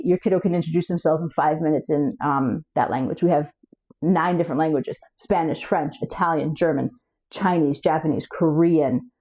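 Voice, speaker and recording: Woman chatting to camera, lowish mellow voice, keeps restarting words, bit dull lo-fi audio. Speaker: language coach and channel host. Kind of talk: casual talk to camera.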